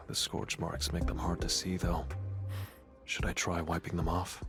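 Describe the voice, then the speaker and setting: A young monster hunter from a video game, with a low-pitched voice. He speaks tersely and matter-of-factly, like someone used to giving orders. Music plays clearly behind him. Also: completely flat delivery, a little slow. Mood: cool, composed. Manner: terse, composed, matter-of-fact. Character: cool, decisive young male hunter commanding shadow soldiers. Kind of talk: scripted dramatic game acting.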